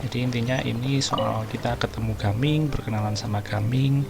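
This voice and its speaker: A man's voice: medium pitch, fairly even intonation, fast, gravelly, background noise. A man with an Indonesian accent giving casual, informal gameplay commentary as a video host, mixing in English phrases. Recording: loud game music behind. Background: video game music.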